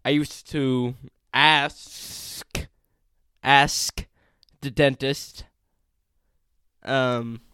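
A twenty-year-old man with a pronounced lisp, chatting casually and swearing freely as a podcast host, in an animated, self-deprecating way. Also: medium pitch, drawn-out words, pauses often, slight background noise. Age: 20, turning 21 soon. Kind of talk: casual chat.

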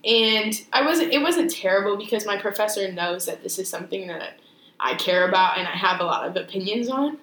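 A young woman co-hosting a casual podcast, mid-pitched and measured, stopping to rephrase her thoughts. The room is echoey, with a faint hiss underneath. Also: animated, fairly bright tone. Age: young adult. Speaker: podcast co-host.

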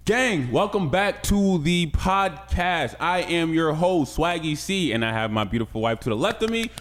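A man's voice: fairly high pitch, expressive, moderate pace, fairly bright tone. A young man hosting a podcast in a casual, slangy, informal way. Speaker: podcast host.

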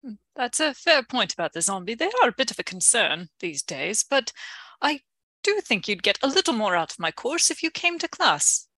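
A woman's voice: a little high, very animated, moderate pace, pushed and bright, no echo, heavily acted. A woman voicing a flustered, polite and earnest female professor in a melodramatic radio drama, with a fretful, hesitant manner. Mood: worried, earnest.

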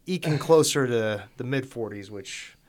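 An upbeat man in his forties hosts a casual podcast conversation with an easy, friendly flow. He talks in a loose, chatty way and is quick to joke about himself. His pitch sits in a typical middle range. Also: lively intonation. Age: 40s. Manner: upbeat, chatty, self-deprecating. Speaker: podcast host. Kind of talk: casual interview chat.

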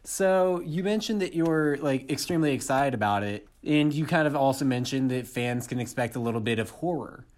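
A man's voice: mid-range voice, lively intonation, moderate pace, hardly any pauses, a little mellow. A man asking questions as the host of a casual interview.

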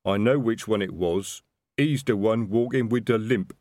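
A man voicing a big, slow, stubborn but dutiful male troll sergeant with blunt, uneducated diction, in a storybook reading. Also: lowish voice, darkish tone, no room sound, lightly acted. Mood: dryly menacing. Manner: blunt, thick uneducated diction, dropped th sounds.

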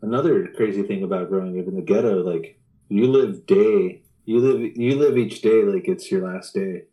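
A man's voice: on the low side, normal speed, highs cut off. A man in his thirties in casual conversation, blunt and sweary, often repeating his phrases. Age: 30s.

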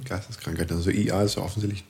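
A man's voice: deep voice, steady tone, rapid-fire, darkish tone, faint music behind. A man chatting casually and humorously as a podcast co-presenter.

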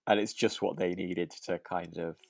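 Low-voiced British man, casual podcast co-host. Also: fairly even intonation, moderate pace, vocal fry, dry recording, clean studio sound. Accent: British English. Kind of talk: casual chat about a radio drama.

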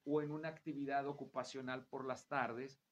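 A man's voice: mid-range voice, little pitch movement, normal speed. A man with a Mexican accent hosting a conversational interview in a chatty, explanatory and wordy way. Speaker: interview show host. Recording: clear background noise.

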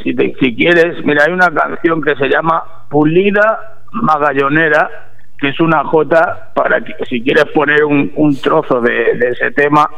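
A man with a hoarse, rough voice, talking casually and haltingly as a guest on live radio. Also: a little high, a bit bright, lo-fi sound. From Bujalance, Andalusia, Spain. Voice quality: hoarse, gravelly. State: hoarse after little sleep. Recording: radio show with faint music.

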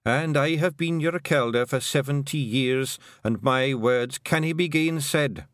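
A man's voice: mid-range voice, measured pace, a bit bright, dry recording, clean studio sound, voice pushed up, lightly acted. Male narrator as an ancient female clan leader, dying but commanding, solemn old-fashioned speech.

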